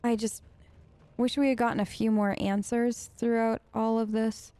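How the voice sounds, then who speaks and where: A woman's voice: a little high, little pitch movement, moderate pace, mellow, no room sound. A woman doing casual, improvised role-play game commentary as a let's play host, chatty, earnest and rambling.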